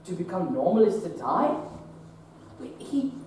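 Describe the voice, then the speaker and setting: A young man playing a troubled Victorian surgeon in a stage play, halting at first and then breaking into impassioned outbursts. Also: high-pitched, sing-song, darkish tone, a little hiss or hum. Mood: despairing. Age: young adult. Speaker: stage actor. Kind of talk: teen stage play performance. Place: theater stage. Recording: echoey theater recorded from far off.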